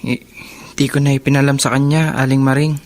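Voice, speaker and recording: A man's voice: medium pitch, level tone, fast, darkish tone, natural voice. A man with a Filipino accent playing a troubled, guilt-burdened, protective older brother in a radio drama. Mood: guilty, sombre.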